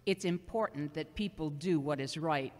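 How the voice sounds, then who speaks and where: A woman playing a loving, gently scolding seventy-year-old mother in an audio drama, earnest and halting. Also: low voice, natural voice. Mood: earnest, admonishing. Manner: earnest, sermonizing, halting delivery. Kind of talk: amateur audio drama acting. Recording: long hollow reverb.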